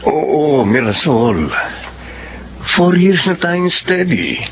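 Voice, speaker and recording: A man's voice: on the high side, very animated, very slow, heavily acted. A man playing an earnest young doctor who reassures the woman he wants to marry in a radio drama. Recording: dull lo-fi audio, faint hiss.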